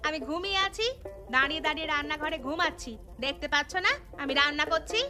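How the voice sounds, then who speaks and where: A bustling cartoon mother played by a woman, sharp-tongued yet caring. Her voice is high and bossy in a motherly way. Music is clearly audible behind her. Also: fast. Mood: sarcastic. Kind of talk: cartoon dialogue. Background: background music.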